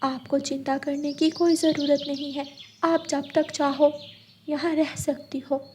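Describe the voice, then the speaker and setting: A woman's voice: high voice, little pitch movement, a little slow, background noise, pitched above the performer's own voice. A woman voicing a caring female parrot who comforts her friend in a cartoon. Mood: comforting. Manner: comforting, warm-hearted.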